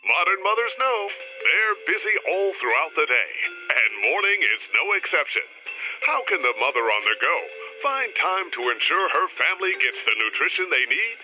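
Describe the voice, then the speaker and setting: Theatrical retro radio announcer, lively pitch. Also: male voice, normal speed, heavily acted, rough recording. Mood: upbeat selling. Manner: theatrical, salesmanlike delivery. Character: hammy retro 1950s male advertisement and movie-trailer announcer, booming enthusiasm. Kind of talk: mid-century radio advert announcing. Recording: music bed behind voice.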